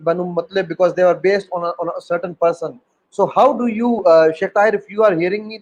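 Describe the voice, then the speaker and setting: A man's voice: high voice, a little mellow, lo-fi sound. A man from Pakistan, hosting an online panel and talking hesitantly with many fillers. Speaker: host. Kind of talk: moderating an online panel discussion.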